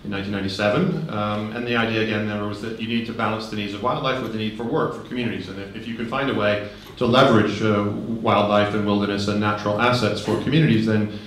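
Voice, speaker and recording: A man giving a relaxed conference talk, conversational, with frequent ums and light humor, into a handheld microphone. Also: lowish voice, fast, few pauses, slight background noise. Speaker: conference speaker. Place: large conference hall. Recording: very echoey hall.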